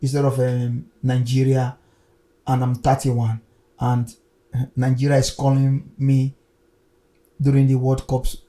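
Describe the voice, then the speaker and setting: A man's voice: mid-range voice, completely flat delivery, measured pace, pauses often, mellow. A man hosting an unscripted football discussion, explaining his points in a conversational way. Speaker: sports talk show host.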